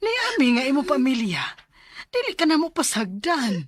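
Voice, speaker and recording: A woman's voice: sits high, very animated, a little fast, dry recording. A woman playing a worried, devoted mother in a radio drama, tender and emotional, with a Filipino Bisaya accent. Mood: tearful, tender.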